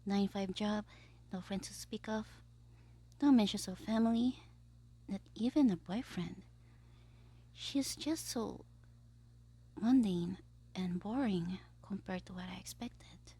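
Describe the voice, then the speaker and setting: A woman voicing a gleeful, scheming young male supervillain in an ASMR audio roleplay, theatrical and petulant, musing aloud with breathy asides. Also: medium pitch, takes it slowly, long pauses, dark tone. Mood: disappointed musing.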